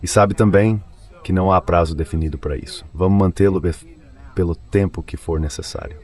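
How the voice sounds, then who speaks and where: Low-voiced man making firm statements. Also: steady tone, fairly quick, a little mellow. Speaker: government official. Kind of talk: formal political statement.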